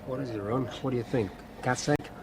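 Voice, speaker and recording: A man's voice: medium pitch, fairly quick, close to an everyday voice, low-quality recording. An actor playing a bossy oil rig foreman who barks orders and curses. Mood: gruff. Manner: barking orders, cursing. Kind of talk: 1970s radio drama acting. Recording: loud rig machinery noise.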